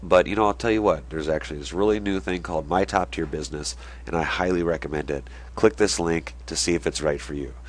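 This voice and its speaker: A young man with a very low voice, blocked up and stuffy from a cold. He explains things casually and keeps stopping to check that viewers follow as he sketches. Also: fast talker, hardly any pauses. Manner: conversational, drawing on a board while explaining. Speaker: online marketing video host.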